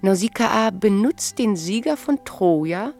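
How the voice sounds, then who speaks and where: A proud, knowing, mature wife in a radio drama. She speaks slowly and deliberately with a creaky edge, irony cutting through each phrase. Faint music sits behind her. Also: mid-range voice, animated, darkish tone. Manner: measured, ironic, deliberate. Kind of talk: radio play acting, literary dialogue.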